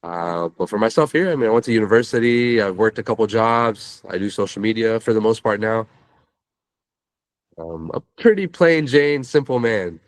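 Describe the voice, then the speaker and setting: A man's voice: on the low side, lively intonation, moderate pace, dry recording, low-quality recording. A man talks as an interview guest. He is informal and reflective, often tagging his points with small check-in questions. The sound is close and dry. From San Francisco Bay Area, California. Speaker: interview guest, social media creator. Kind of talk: casual interview chat.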